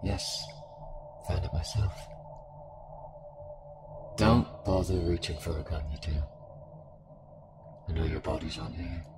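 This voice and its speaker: A weary, taunting cult villain from an audio drama, acted by a man. The voice sits very low and speaks with menacing resignation, with prominent music behind it. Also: measured pace, long pauses. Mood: resigned, taunting. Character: weary, menacing male cultist villain in spirit projection. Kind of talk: audio roleplay voice acting.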